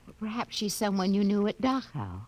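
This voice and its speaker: A woman's voice: mid-range voice, huge pitch swings, a little fast, a little hiss or hum. An actress playing a kindly, fussing middle-aged mother, chatty and solicitous, in a 1950s radio drama. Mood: fussing, fond. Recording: old radio recording, music behind.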